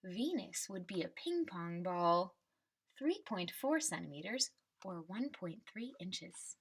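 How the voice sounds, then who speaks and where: A woman reading a children's picture book aloud and explaining it simply, in a deliberate teaching manner. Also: fairly low pitch, sing-song, slightly rough.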